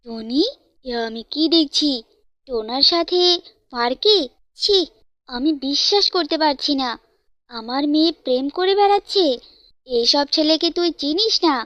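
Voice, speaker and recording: A woman's voice: high-pitched, a bit bright, no room sound. A woman voicing a stern, controlling father bird in a cartoon, with an authoritative manner. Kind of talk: cartoon fairytale dialogue.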